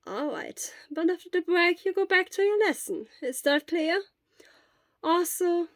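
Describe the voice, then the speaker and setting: A woman voicing a kindly but stern female school nurse in an audiobook, speaking in a measured, firm, explanatory way. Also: very high voice, animated, a bit bright, no echo, studio quality, pitched above the performer's own voice, exaggerated voice. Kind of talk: acted audiobook dialogue.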